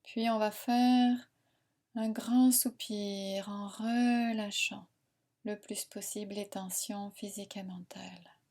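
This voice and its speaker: A woman guiding a meditation in a soothing, unhurried, instructing manner with long pauses. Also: mid-range voice, fairly even intonation, darkish tone. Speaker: relaxation and yoga nidra guide. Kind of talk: guided meditation narration.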